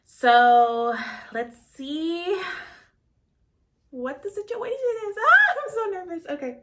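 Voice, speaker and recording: A woman's voice: extremely high pitch, big pitch swings, slow, bright, forceful tone. A woman in her late twenties, an actor, chatting nervously and rambling with many fillers in a casual reaction vlog.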